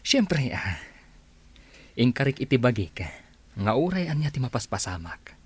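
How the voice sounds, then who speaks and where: Young Filipino man , acted, slightly rough husky voice, persistent village suitor for radio drama. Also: medium pitch, sing-song, normal speed, no echo. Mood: wooing. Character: persistent village suitor who likes his drink. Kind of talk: Ilocano radio comedy drama.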